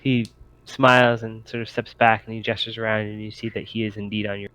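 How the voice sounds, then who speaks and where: A man narrating a tabletop game as game master, halting as he thinks aloud. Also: fairly low pitch, little pitch movement, fairly bright tone, clear, resonant tone, no echo, poor audio.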